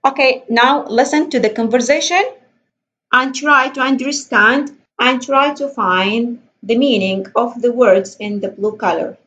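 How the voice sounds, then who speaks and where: A woman teaching an English lesson, explaining slowly and deliberately and reading questions aloud. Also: fairly high pitch, a bit bright. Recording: dull lo-fi voice recording.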